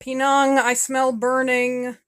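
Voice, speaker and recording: A woman's voice: sits high, completely flat delivery, slow, fairly bright tone, clear, resonant tone. A woman voicing a sick, tired widowed mother who speaks in a weary, scolding tone.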